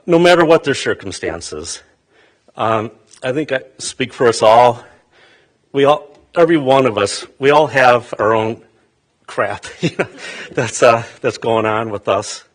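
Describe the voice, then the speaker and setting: A man giving a halting funeral eulogy for his mother in a funeral chapel, with many fillers and restarts. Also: medium pitch, expressive.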